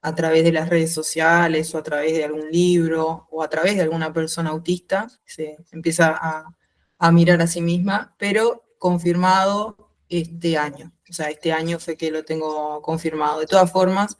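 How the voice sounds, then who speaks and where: A woman's voice: low voice, level tone, moderate pace. Woman with mixed Uruguayan-Spanish accent. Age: 30s. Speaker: podcast guest. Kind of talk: informal interview, personal account.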